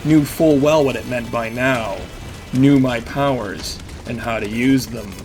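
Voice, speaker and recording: A man's voice: mid-range voice, unhurried, darkish tone, natural voice. An actor playing a battered vigilante who narrates his own story in intense, clipped phrases, in the style of an audio drama. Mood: grim, tense. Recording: music behind the voice. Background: dramatic music.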